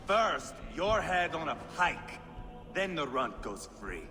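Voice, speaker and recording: Casting: brash, bloodthirsty Viking raider, game cutscene; highish gravelly voice, taunting, music behind. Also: male voice, sing-song, slow. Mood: mocking menace. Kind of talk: dramatic video game acting. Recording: long hall reverb, loud music.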